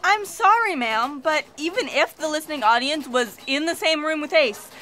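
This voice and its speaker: A woman's voice: high-pitched, animated, normal speed, sharp tone, slight background noise. A woman playing an earnest, fretful, literal-minded fact checker in a comic sci-fi audio drama. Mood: earnest, puzzled.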